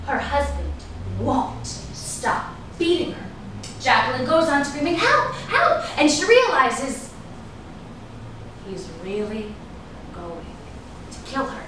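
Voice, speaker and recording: In a very echoey room with clear noise, a guarded, ambitious woman played by a stage actress speaks with dramatic emphasis. Her high voice moves widely as she holds an old flame at bay in a staged drama reading. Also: big pitch swings, slow pace, pauses often, a bit bright, full character voice. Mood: urgent, dramatic. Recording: echoey room, distant mic, noisy.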